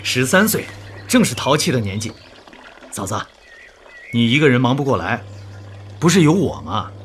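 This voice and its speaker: A man's voice: medium pitch, sing-song, moderate pace, a little hiss or hum, natural voice. An actor in a radio drama playing a loyal, protective male soldier, hearty, reassuring and fearless. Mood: warm, reassuring.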